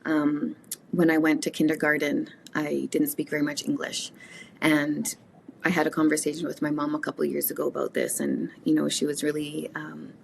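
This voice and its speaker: Low gravelly woman, Cree native speaker. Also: monotone, normal speed, slight background noise, poor audio. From Canada. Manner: reflective, frequent ums and restarts. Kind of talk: casual conversational interview.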